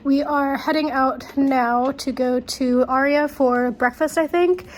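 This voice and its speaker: Congested chatty woman vlogging, high voice. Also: steady tone, slow pace, a little hiss or hum. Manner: chatty, rambling, self-correcting asides. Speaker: travel vlogger. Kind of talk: casual vlog narration. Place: hotel and casino in Las Vegas.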